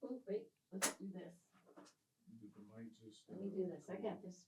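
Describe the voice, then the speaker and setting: A woman in her seventies hosting a casual interview, chatty and animated as she tells stories and laughs often. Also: medium pitch, a little slow, heavy background noise. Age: 70s. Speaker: host, mental health coach.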